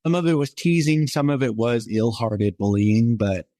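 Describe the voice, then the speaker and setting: A man's voice: animated, moderate pace, mellow, dry recording, clean studio sound. Earnest young man telling his story. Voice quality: somewhat high voice for a man. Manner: rambling, filler-heavy, earnest. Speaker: podcast guest. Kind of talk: personal storytelling interview.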